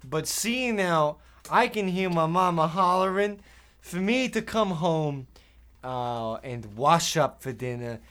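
Male actor as gee-whiz Depression-era kid, earnest, excitable, smooth high voice, radio serial spoof. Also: slow. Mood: folksy, relieved. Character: excitable, folksy Depression-era boy sidekick. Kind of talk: hobby old-time radio parody acting.

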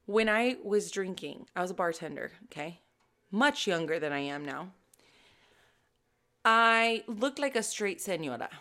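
Animated woman in her thirties. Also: medium pitch, a little slow, pauses often. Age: 30s. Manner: animated, emphatic, speaks directly to listeners. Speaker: fitness coach and podcast host. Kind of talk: casual solo podcast talk.

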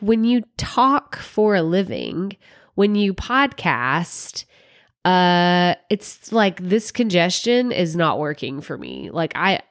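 A woman hosting her own podcast while congested from a cold, talking in a chatty, nasal, stuffy way. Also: lowish voice. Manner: chatty, conversational, with fillers like "like" and "um". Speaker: podcast host. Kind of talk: solo podcast talk.

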